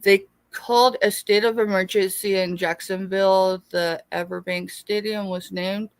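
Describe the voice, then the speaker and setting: A woman's voice: medium pitch, steady tone, unhurried, smooth, no room sound. A middle-aged American woman hosting a casual live sale, chatty and rambling as she talks familiarly with her viewers. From United States, born in Texas. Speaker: live sale host and small seller.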